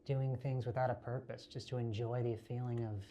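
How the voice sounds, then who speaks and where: A lone survivor acted by a man chats to his own camera in found footage horror style. His mid-pitched voice is soft and mellow, easygoing with a weary, reflective streak. Faint noise sits in the amateur recording. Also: flat delivery, fairly quick, close to an everyday voice. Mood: relieved, reflective. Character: lone male survivor filming himself, weary but easygoing. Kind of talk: found footage horror monologue, casual vlog-like narration.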